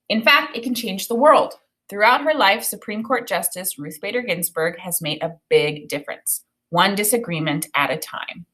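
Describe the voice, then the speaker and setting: A woman teacher reading a children's book aloud, with expressive, deliberate phrasing and explanatory asides. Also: mid-range voice, low-quality recording. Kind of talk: read-aloud of a children's book with think-aloud commentary.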